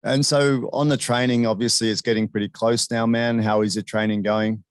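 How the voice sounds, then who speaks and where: A man's voice: medium pitch, little pitch movement, moderate pace, clear, resonant tone, dry recording. A man hosting a casual sports interview in a chummy, informal way. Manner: chummy, informal, often addressing guest as "man". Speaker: interviewer, show host.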